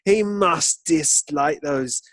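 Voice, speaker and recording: Male podcast co-host, blokey and sweary, higher-pitched, casual football chat, close dry sound. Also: takes it slowly, fairly bright tone, dry recording.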